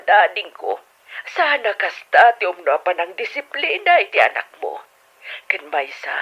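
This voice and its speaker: A dull telephone filter covers an opinionated middle-aged Ilocano aunt, played by a woman. Her voice is fairly low but swings widely in pitch. She is affectionate and full of exclamations, in radio-drama style. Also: full character voice. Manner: expressive, exclaiming. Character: older sister calling from abroad, affectionate, opinionated aunt. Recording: dull, band-limited phone-call sound.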